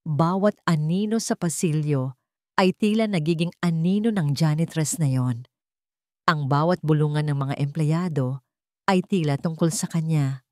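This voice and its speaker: Low-pitched woman narrating dramatic fiction. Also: unhurried, darkish tone, dry recording, studio quality. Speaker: story narrator. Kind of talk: dramatic scripted romance story narration.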